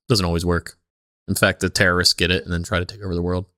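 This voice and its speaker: A man's voice: sits low, animated, brisk, bright, forceful tone, no echo, studio quality. A man co-hosting a podcast with casual, irreverent comedic banter. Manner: irreverent, swears freely. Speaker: podcast co-host.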